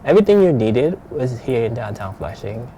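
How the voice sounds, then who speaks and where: Guy in his 50s from jamaica, really mellow voice, casual reminiscing, bit of background noise. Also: medium pitch, sing-song, normal speed, lo-fi sound. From Jamaica, moved to New York at sixteen. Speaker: interviewee, long-time resident.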